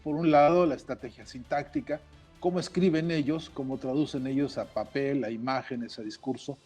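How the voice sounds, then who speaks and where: A man giving measured academic analysis, pausing on fillers. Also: medium pitch, a little mellow, husky, soft background music. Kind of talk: academic commentary.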